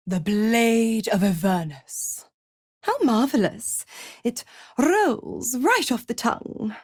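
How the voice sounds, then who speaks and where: The voice that greets you at an infernal bargaining table in a fantasy game: a she-devil, unhurried and close in a dry space, seductive, taunting and used to being obeyed. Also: fairly low pitch, husky, no room sound, heavily acted. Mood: smug, mocking. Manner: sultry, taunting, drawn-out theatrical delivery. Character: seductive, mocking, imperious female devil who bargains. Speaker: video game voice actor. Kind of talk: theatrical video game character acting.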